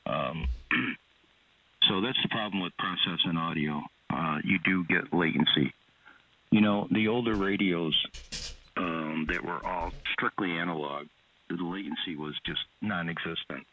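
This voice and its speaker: A man on ham radio talking through gear details, hesitant and clearing his throat. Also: sits low, measured pace, a little hiss or hum. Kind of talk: casual radio chat. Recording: tinny radio transmission.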